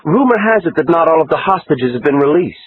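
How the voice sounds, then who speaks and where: Male reader plays an eager woman reporter chasing a story, voice pitched up, tinny phone-like sound. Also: animated, fast, close to an everyday voice. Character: pushy woman newspaper reporter, eager and sharp.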